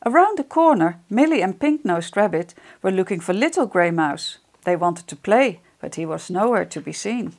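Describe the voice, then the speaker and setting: A middle-aged woman reading a picture book to young children, expressive and deliberate, addressing them directly. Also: mid-range voice. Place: small wood-panelled room indoors. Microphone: lapel microphone.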